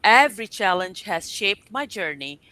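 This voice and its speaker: Woman from pakistan, bright crisp voice, intro speech with small stumbles. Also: medium pitch, big pitch swings, moderate pace. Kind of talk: prepared self-introduction.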